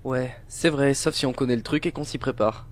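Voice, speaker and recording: A man's voice: mid-range voice, moderate pace, close to an everyday voice. A man voicing an earnest, helpful teenage student in an anime fan dub, explaining things matter-of-factly. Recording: loud music under the voice.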